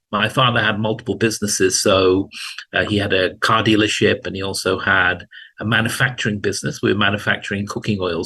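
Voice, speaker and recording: A man, telling his career story as an interview guest in a relaxed way with dry humor. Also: on the low side. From England. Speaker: guest, hospital chief information officer. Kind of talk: casual interview talk.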